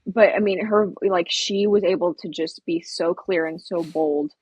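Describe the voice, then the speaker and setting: A woman's voice: lowish voice, unhurried, a little mellow, husky, no room sound, low-quality recording. A young woman on a discussion panel, talking casually in an earnest, reflective way. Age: young adult. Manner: earnest, reflective, frequent "like" and "just". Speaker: talk show panelist.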